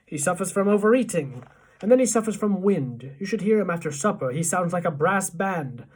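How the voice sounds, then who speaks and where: A woman voicing an outraged, bullying middle-aged father who blusters and shouts in a storybook reading. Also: on the low side, expressive, a little fast, breathy edge, distant mic. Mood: gruff, scornful.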